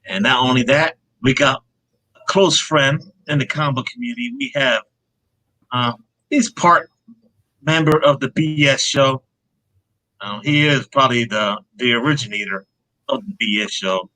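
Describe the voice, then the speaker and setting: A man's voice: mid-range voice, moderate pace, long pauses, bright, forceful tone, low-quality recording. A man hosting a casual comic book live stream panel, laid-back and peppering his talk with "man.".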